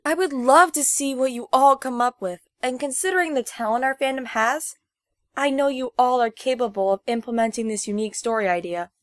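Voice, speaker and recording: A woman's voice: high-pitched, brisk, dry recording, clean studio sound. A woman giving scripted opinion commentary as a guest, in articulate, formally phrased sentences.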